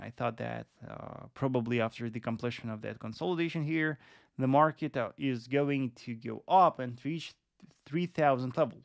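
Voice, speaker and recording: A man in his late twenties hosting a live stream and explaining market analysis in a deliberate manner, often repeating phrases. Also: mid-range voice, gravelly, no room sound, clean studio sound.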